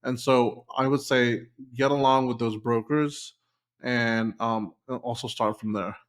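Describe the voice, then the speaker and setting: A man's voice: medium pitch, steady tone, measured pace. A man being interviewed on a podcast, speaking in a casual, meandering way. His voice sits in a plain middle register, and he leans on fillers like um and you know as he thinks aloud. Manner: loose and conversational, with many fillers like um and you know. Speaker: guest, short-term rental company founder.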